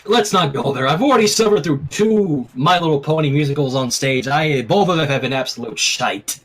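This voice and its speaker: A man's voice: on the high side, a little fast, fairly bright tone. A man reading fan fiction on a casual stream, switching between narration and chat.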